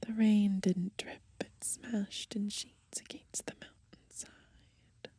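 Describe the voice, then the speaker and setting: A young woman softly whispering in a gentle ASMR style as she browses and reads from a book outdoors. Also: medium pitch, flat delivery, slow, dry recording. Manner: soft, gentle, breathy whispering. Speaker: ASMR creator. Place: outdoors in the grass.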